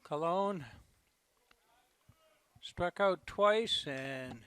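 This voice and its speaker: Fairly high-voiced man losing his voice. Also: lively intonation, extremely slow, a bit bright, clear, resonant tone. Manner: low-key, conversational calls. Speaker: play-by-play commentator. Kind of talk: local baseball commentary.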